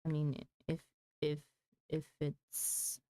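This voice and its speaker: A woman's voice: low voice, monotone, slow pace, mellow, dry recording. A woman chatting casually on a gaming livestream, thinking aloud in a hesitant way. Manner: hesitant, thinking aloud, mulling over choices. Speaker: game livestreamer.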